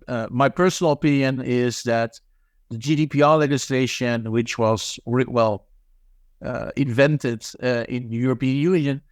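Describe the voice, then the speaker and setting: Man, smooth hesitant speech. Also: medium pitch, dry recording, clean studio sound. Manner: halting, many fillers and restarts. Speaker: guest, managing director of an anti-scam nonprofit. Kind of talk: interview answers, thoughtful and hesitant.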